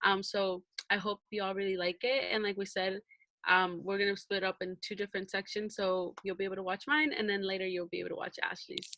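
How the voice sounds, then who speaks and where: Young female podcast host from Arizona, bright and bubbly, dry close sound, video call. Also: medium pitch, level tone, brisk, no echo. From Arizona, USA. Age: young adult. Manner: bubbly, laughs often, says um and like.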